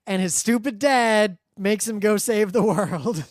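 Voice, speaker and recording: A man's voice: high-pitched, unhurried, no room sound, studio quality. A man talking casually as a podcast co-host. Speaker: podcast co-host reviewing a webcomic. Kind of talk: casual chat.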